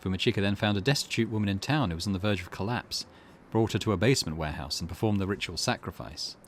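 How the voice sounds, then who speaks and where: Low-voiced man narrating a story. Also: fast talker, slight background noise. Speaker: gaming channel host. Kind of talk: reading visual novel narration aloud.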